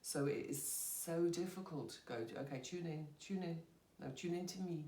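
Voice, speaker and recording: A woman's voice: fairly low pitch, steady tone, moderate pace, warm tone. A woman, a yoga teacher, talking candidly and expressively about her own healing and laughing at herself. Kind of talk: candid personal conversation. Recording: roomy sound from a distant mic.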